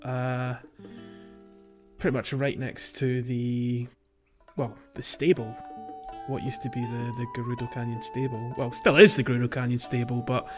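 A man co-hosting a casual game podcast, relaxed, trailing off and chuckling. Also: mid-range voice, moderate pace, highs cut off, over music. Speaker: podcast co-host. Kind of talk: casual chat.

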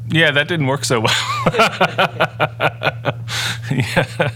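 A middle-aged man, a native Dutch speaker, telling his personal story in an earnest, halting way with many restarts. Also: a little high, sharp tone, slight background noise. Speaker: interview guest. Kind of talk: personal testimony in conversation.

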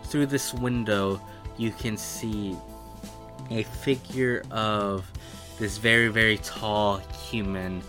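Slow, low-pitched man running a tabletop game. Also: fairly even intonation. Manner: halting, piecing descriptions together phrase by phrase. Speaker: game master. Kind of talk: improvised narration of a tabletop roleplaying game. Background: background music.

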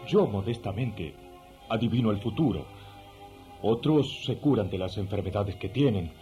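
Actor as a mellow, self-important believer. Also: male voice, lowish voice, lively intonation, normal speed, soft background music, lightly acted. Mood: proud. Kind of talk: radio drama acting.

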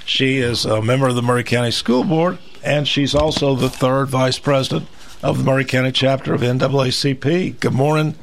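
A man hosting a local radio talk show, introducing guests in a relaxed, halting way with many fillers. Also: medium pitch, vocal fry, slight background noise. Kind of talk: casual radio chat.

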